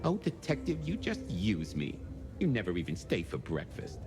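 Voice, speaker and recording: A man's voice: low voice, huge pitch swings, normal speed, full character voice. An actor playing a flirtatious, theatrical male police records clerk in a video game, coy and playfully helpful, with music behind him. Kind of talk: video game dialogue acting.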